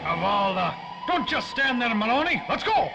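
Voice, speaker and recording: An actor playing an impatient senior cop in an old-time radio play, barking bossy orders. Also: male voice, very high voice, sing-song, normal speed, gravelly, full character voice. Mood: urgent, furious. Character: impatient, barking senior police officer, gruff man. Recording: dull, very noisy old radio recording.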